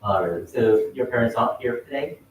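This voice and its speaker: A man, a contest judge asking a speaker questions in a halting, hesitant way, with many fillers and restarts. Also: medium pitch, a little hiss or hum. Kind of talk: live question and answer at a speech contest. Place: large hall at a speech contest. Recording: echoey hall, mic at a distance.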